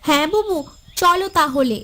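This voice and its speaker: A woman's voice: high voice, lively intonation, normal speed, bright, forceful tone, slight background noise. A woman playing a caring, eager younger co-wife in a village cartoon, with a sweet manner. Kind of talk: cartoon voice acting.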